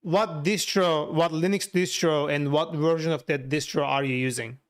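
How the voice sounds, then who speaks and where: Clear, higher-pitched man with a Turkish accent. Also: steady tone, moderate pace. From Turkey. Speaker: livestreamer, software developer. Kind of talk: casual livestream chat, answering viewers while working.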